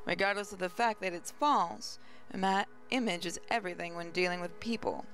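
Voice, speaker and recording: A woman's voice: on the low side, fairly quick, fairly bright tone. A woman hosting a gaming channel, reading visual novel narration aloud, with faint music behind her.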